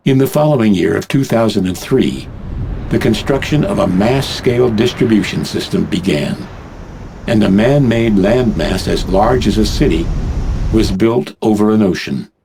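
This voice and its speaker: A man narrating a game cutscene as a grave, measured teller of world events. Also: on the low side, animated, creaky, noisy background. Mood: solemn. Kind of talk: scripted dramatic narration.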